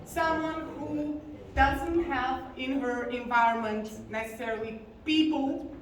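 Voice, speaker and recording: Woman, 30s, high voice, confessional conference speaker, heard from far off in a very echoey hall. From Bulgaria. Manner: earnest and confessional, slowing down on key words. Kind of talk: personal story talk on stage. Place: large conference hall stage. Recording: very echoey hall, distant mic, faint noise.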